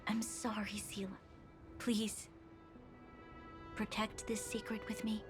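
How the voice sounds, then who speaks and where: An actress playing a dutiful young woman who leads her people, speaking formally and with measured resolve in a video game drama, with music behind her. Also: mid-range voice, completely flat delivery, lightly acted. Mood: pleading. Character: solemn, dutiful young woman heir to a Supreme Guardian.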